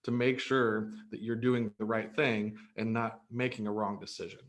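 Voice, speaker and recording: A man's voice: fairly low pitch, level tone, normal speed. A man in his thirties, born in Greece, answering interview questions about his real estate business in an upbeat, earnest way, with many fillers and restarts. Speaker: real estate broker, guest.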